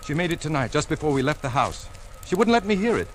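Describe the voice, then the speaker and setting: An actor playing a nervous, evasive husband in a 1940s radio suspense drama, stammering and defensive. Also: male voice, fairly high pitch, very animated, fast. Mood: shaken, evasive. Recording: vintage radio recording with background noise.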